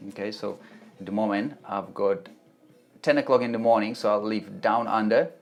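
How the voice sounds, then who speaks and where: A man's voice: lowish voice, fairly even intonation, normal speed, creaky, faint music behind. Czech-accented man hosting a live Q&A. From Czech Republic. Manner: chatty, reads chat aloud, frequent restarts. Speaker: web development tutorial host. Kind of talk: live stream Q&A, answering chat. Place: home office, Melbourne.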